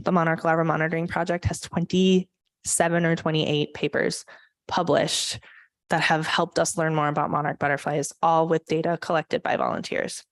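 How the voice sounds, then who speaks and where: A woman's voice: sits low, no echo. A woman giving an earnest public talk on conservation at a church forum, with frequent um fillers. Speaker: conservation presenter. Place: church meeting room.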